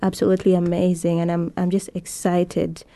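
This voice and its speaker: A woman's voice: fairly low pitch, completely flat delivery, normal speed, dark tone. A woman hosting an interview, encouraging and affirming her guest as she talks. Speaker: interviewer, youth show host. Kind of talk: casual interview.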